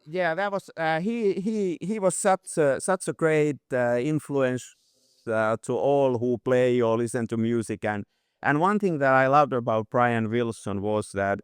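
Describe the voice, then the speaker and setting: A man with a Finnish accent, a photographer being interviewed, speaking thoughtfully and haltingly, often repeating words as he starts a thought. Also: medium pitch, clear, resonant tone, no room sound, clean studio sound. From Finland. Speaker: guest photographer. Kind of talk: casual interview conversation.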